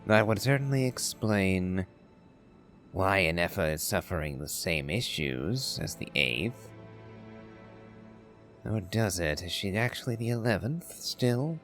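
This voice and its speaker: Brief: male game player, deep and unhurried, musing commentary over soft music. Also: animated. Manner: musing, thinking aloud. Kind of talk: casual commentary while playing a game.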